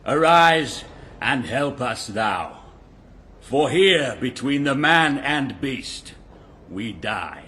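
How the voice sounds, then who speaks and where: A man's voice: mid-range voice, lively intonation, drawn-out words, sharp tone, heavily acted. An actor playing a desperate king in a verse drama, pleading in a declamatory way.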